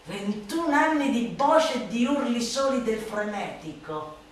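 An actress playing a bitter, mocking satirist who declaims a theatrical radio monologue against a tyrant, swinging between drawn-out murmurs and shrill cries. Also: female voice, animated. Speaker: stage actress performing a literary monologue. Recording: very echoey, distant, slightly noisy hall.

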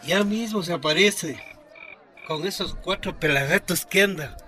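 A man playing a hot-headed townsman in a vigilante mob, agitated and shouting, in a radio drama. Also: high-pitched, measured pace, slight background noise. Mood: menacing.

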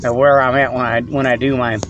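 A man's voice: mid-range voice, flat delivery, brisk, fairly bright tone, dull audio, a little hiss or hum. A man hosting a rambling comic monologue from inside his truck, folksy and full of "okay" and "you know.". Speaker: host.

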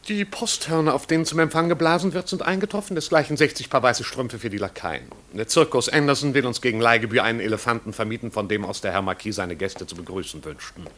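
An actor playing a pedantic, long-serving older butler in a 1950s radio play, speaking in stilted, pompous, formal phrases. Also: male voice, medium pitch, quick pace, few pauses, a bit bright, close to an everyday voice. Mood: dry, deferential.

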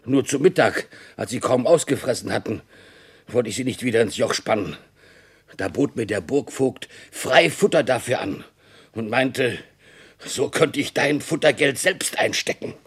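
A battered servant in a radio play, husky and rough at a medium pitch. He is loyal and stubbornly honest, recounting things in a subdued, earnest manner. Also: expressive, moderate pace. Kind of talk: German radio drama acting.

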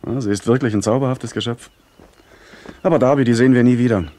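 An actor playing a polite, earnest young gentleman in a German radio play. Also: mid-range voice, fairly even intonation, quick pace, a little hiss or hum, natural voice. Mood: wistful.

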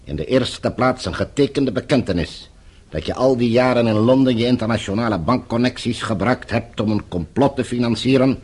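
An actor playing a falsely courteous, menacing captor and regime agent in a Dutch radio drama, speaking in measured, formal, condescending phrases. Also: male voice, medium pitch, a little mellow, husky, close to an everyday voice. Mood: cold, demanding.

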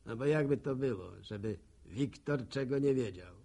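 Gravelly, husky village postman and gossip. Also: mid-range voice, expressive, warm tone. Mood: indignant, animated. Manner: folksy, rhetorical, unhurried storytelling. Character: nosy, talkative village postman, good-humoured gossip. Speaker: narrator of a radio drama. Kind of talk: folksy monologue in a rural radio drama.

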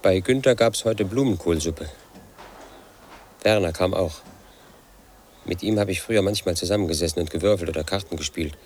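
A man's voice: sits low, normal speed, long pauses, darkish tone, slight background noise, natural voice. An actor in his late thirties playing a lonely, suspicious house painter, speaking a bitter inner monologue in a radio drama. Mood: brooding. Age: late 30s. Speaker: protagonist narrating his life.

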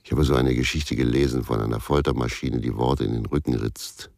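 Radio crime play: bitter ex-cop narrator, extremely low gravelly voice, brooding and laconic. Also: male voice, steady tone, fairly quick, darkish tone, soft background music. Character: bitter, world-weary ex-policeman narrating his own downfall. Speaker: first-person narrator.

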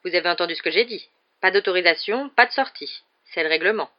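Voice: mid-range voice, quick pace, natural voice. A strict woman professor from a storybook, as a narrator acts her out, firm and curt. She sounds authoritative and unyielding, in a slightly dull recording. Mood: stern.

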